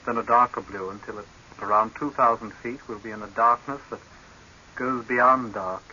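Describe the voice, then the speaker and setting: Earnest diving doctor, formal and measured, mid-pitched, old radio horror drama, dull hissy recording. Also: male voice, fairly even intonation, sharp tone. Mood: grave, explanatory. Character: deferential, earnest male doctor, deep-sea diving expert. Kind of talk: 1930s radio horror acting.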